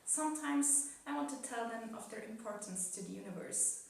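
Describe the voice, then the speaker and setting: A woman playing a gentle, solemn cosmic spirit in a measured, wistful dramatic reading of a poetic dialogue. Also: fairly high pitch, lively intonation, gravelly. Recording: echoey room, distant mic, faint noise.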